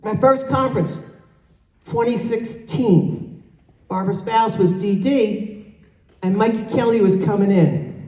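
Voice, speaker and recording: A middle-aged woman gives a spirited motivational talk into a handheld mic, loud and animated, sometimes yelling. Her voice is mellow in tone. It is captured from across a large hotel conference hall, with a long, roomy echo. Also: medium pitch. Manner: loud, animated, moves the mic away to yell. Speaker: conference speaker. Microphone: handheld mic, filmed from far off. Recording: echoey hall, recorded from far off.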